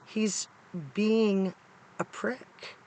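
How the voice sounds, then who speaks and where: A middle-aged American man with a hoarse, congested voice, giving casual, opinionated commentary to viewers in an informal, emphatic way. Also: sits high, little pitch movement, extremely slow, darkish tone, low-quality recording. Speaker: true-crime vlogger. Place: inside a parked car.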